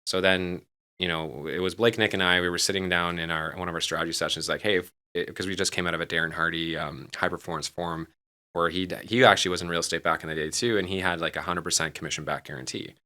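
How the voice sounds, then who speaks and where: A man in his forties with a low but bright, forceful voice. He talks quickly in an informal, conversational interview style, often repeating phrases for emphasis. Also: steady tone. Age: 40s. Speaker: podcast guest, real estate team leader.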